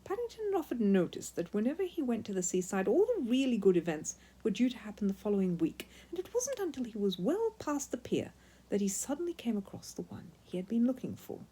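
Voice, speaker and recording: A woman narrating a children's story in an expressive, emphatic read-aloud style. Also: a little high, slightly rough.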